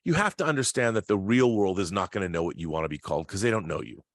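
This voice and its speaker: A middle-aged man with a fairly low voice hosts an informal chat in a dry, close sound. He gives opinions with emphasis, often repeating words as he gets a sentence going. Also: expressive, fast, no room sound. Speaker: talk show host. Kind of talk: casual opinionated live chat.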